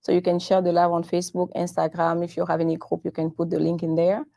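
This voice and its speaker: A woman whose first language is French hosts a live-streamed chat, her voice low and gravelly. Her tone is dark and mellow. She talks unhurriedly, in halting phrases. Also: monotone. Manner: halting, unhurried, switches between English and French. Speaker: host of a live show. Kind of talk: casual live-stream interview hosting.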